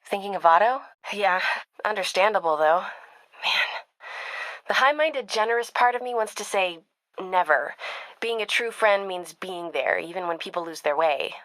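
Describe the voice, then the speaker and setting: An American actress playing a young woman reporter in a video game, caring, wry and quick-witted, in natural conversational dialogue. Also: medium pitch, lively intonation, fairly bright tone, no echo, close to an everyday voice, rough recording. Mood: gentle, sympathetic.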